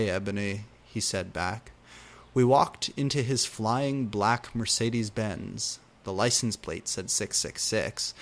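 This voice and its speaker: A man reading fan fiction aloud in a deliberate, theatrical way with exaggerated emphasis. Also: mid-range voice. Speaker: reader.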